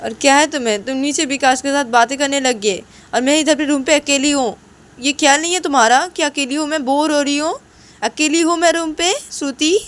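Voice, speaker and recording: A woman's voice: medium pitch, steady tone, normal speed, natural voice. A woman voicing an irritable pregnant woman doctor who scolds insistently and repeats herself in an amateur audio story. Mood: angry, stubborn.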